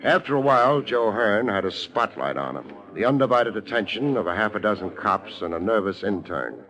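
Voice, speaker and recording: An actor playing a wry, hard-boiled private detective with an American accent, in a dry, laconic 1950s radio noir style. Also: male voice, on the low side, lively intonation, fairly quick, a bit bright, rough recording. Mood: grim, wry. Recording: vintage radio recording, music underneath.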